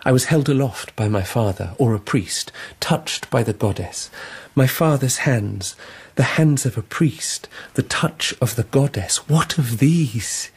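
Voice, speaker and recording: An actor playing a restless, earnest emperor in a radio drama, speaking formally and haltingly. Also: male voice, mid-range voice, expressive, slightly rough, heavily acted. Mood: earnest, wondering. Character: restless young Japanese emperor, earnest and searching.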